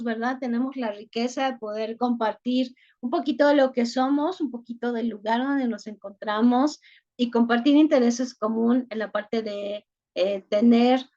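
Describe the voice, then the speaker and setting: Mexican woman teacher, chatty, filler-heavy, slightly high voice heard through a dull video-call line. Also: darkish tone. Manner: chatty, frequent "este" fillers. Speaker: online course teacher. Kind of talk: online class, reading chat introductions. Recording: muffled lo-fi video-call audio.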